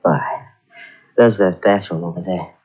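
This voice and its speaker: Haunted young husband for a 1940s radio mystery, husky voice; dull tape sound. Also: male voice, low-pitched, fairly even intonation, normal speed. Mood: brooding. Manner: tense, urgent. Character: tense young husband fearing a family curse. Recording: dull old radio transcription.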